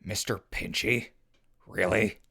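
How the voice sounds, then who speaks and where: A man playing a gruff, wry leader of a wasteland crew, gravelly-voiced, in a post-apocalyptic audio drama. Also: on the low side, steady tone, slow, pushed and bright, heavily acted. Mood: dry, incredulous.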